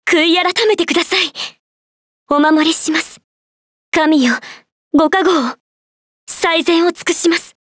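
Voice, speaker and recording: A woman voicing a gentle, devout young cleric in a game, speaking softly and prayerfully. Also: very high-pitched, fairly even intonation, a little fast, pauses often, no room sound. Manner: soft, prayerful, earnest. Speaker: game character. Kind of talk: game voice clips. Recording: dry studio recording.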